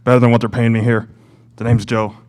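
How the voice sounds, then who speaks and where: A man's voice: medium pitch, little pitch movement, fairly quick, a little mellow, clear, resonant tone, lightly acted. Offhand young man acting in student radio drama. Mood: offhand, puzzled. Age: young adult. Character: offhand grocery store worker and radio student. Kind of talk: amateur scripted radio play.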